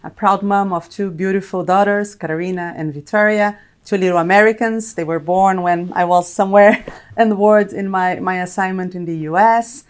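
A woman's voice: mid-range voice, steady tone, normal speed, clean tone. A middle-aged woman from Brazil with a Brazilian accent, explaining things reflectively as an executive guest in a corporate interview.

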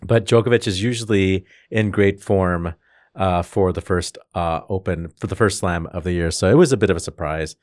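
A man's voice: sits low, moderate pace, a little mellow, dry recording, clean studio sound. A man co-hosting a podcast, joking in rambling casual banter with many fillers. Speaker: podcast co-host.

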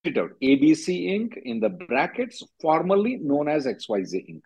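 A man's voice: on the high side, wildly swooping pitch, moderate pace, gravelly, a little mellow, breathy edge. A man working as an attorney hosting a call-in, giving blunt, directive advice and repeating himself for emphasis on a conference call. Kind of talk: question-and-answer legal advice on a conference call. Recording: muffled, lo-fi conference call line.

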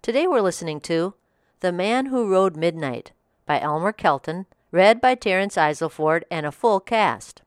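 A woman's voice: lowish voice, expressive, normal speed, dry recording, clean studio sound. A woman reading a scripted review as a podcast host.

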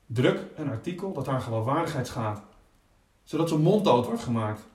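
A man's voice: medium pitch, animated, moderate pace, darkish tone, recorded from a distance, close to an everyday voice. An actor playing an irate, intimidating man who talks insistently in an audio drama. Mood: cold, menacing. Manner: insistent, repetitive, cursing. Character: irate, intimidating man pressuring a newspaper editor. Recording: echoey room, off the mic.

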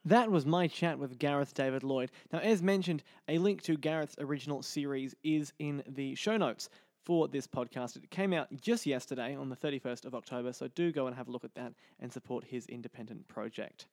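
A man's voice: medium pitch, brisk, a little mellow. A man hosting a podcast interview in a polished presenting style.

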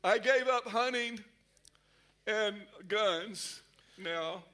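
A man with an American accent preaching playfully and exuberantly to a congregation, pausing for an interpreter. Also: high-pitched, pushed and bright. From Texas, United States. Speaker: guest preacher. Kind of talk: interpreted live sermon, joking with congregation.

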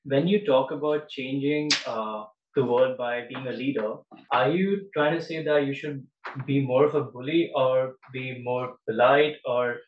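A man's voice: mid-range voice, a little slow. A man in an audience asking a panelist a question, self-deprecating and laughing a little. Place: event room with audience. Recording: dull, distant, echoey with noise.

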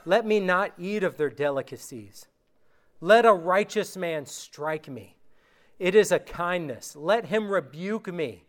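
A man gives a casual sermon and reads scripture with slow, deliberate emphasis. His voice sits high for a man and sounds clean and smooth, though recent sickness has worn it down. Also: expressive. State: recovering from illness, voice going. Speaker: preacher. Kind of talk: informal sermon with scripture reading.

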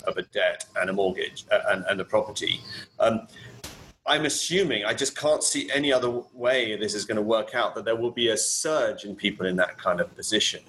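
A man's voice: on the low side, a little fast, a bit bright, a little hiss or hum, low-quality recording. A man who grew up in Kenya, chatting as an interview guest and speaking hesitantly with many restarts. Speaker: guest, property finance specialist. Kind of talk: casual interview chat. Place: home in Barcelona.

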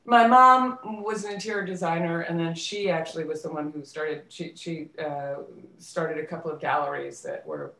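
A low-pitched American woman speaks as a guest in a casual interview. She recounts her own story reflectively, pausing to rephrase. The sound is roomy and echoey. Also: sharp tone. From United States, suburbs of Washington DC, then California. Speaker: interview guest, theater actress and director.

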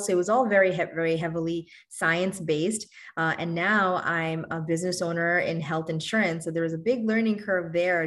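Low-voiced woman. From Bangladesh. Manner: candid, casual, upbeat. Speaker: podcast guest, doctor. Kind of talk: podcast interview.

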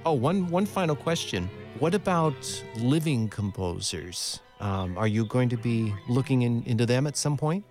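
A man conducting a conversational radio interview as host, phrasing things in a measured, courteous way. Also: mid-range voice, animated, darkish tone, faint music behind. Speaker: radio program director and interviewer.

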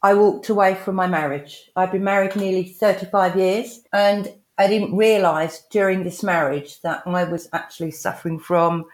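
A woman in her sixties with a croaky voice, candidly telling her own story as a podcast guest. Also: medium pitch, steady tone, normal speed, few pauses. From England. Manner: straight-talking, candid. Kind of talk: personal storytelling.

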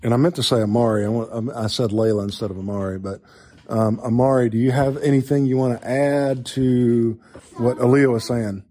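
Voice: medium pitch, steady tone, a little fast, mellow. A chatty, encouraging podcast host doing a casual interview.

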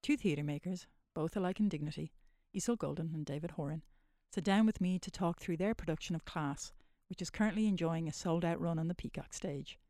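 A woman hosting a podcast, reading a polished introduction and then asking casual, measured interview questions. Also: fairly low pitch, dark tone. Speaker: podcast host, talks coordinator.

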